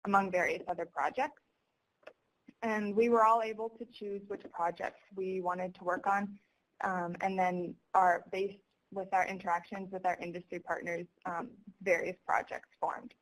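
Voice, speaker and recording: A woman's voice: medium pitch, rough recording. A young adult woman, a student, giving a prepared presentation on a conference call in a formal, explanatory way.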